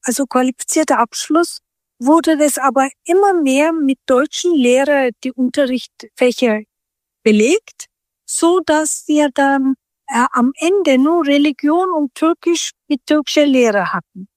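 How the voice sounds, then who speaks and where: A middle-aged woman from Turkey speaks in a high voice. She talks slowly and reflectively, often restarting sentences as she hunts for words. The recording is close and dry, with no room sound. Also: no room sound. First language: Turkish. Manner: thoughtful, searching for words with frequent restarts. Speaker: guest. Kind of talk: reflective interview conversation.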